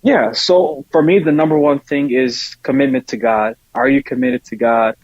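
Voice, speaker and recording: A man's voice: mid-range voice, big pitch swings, moderate pace, no room sound, lo-fi sound. A man speaking casually and earnestly as a podcast guest, often repeating points for emphasis. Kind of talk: casual conversation.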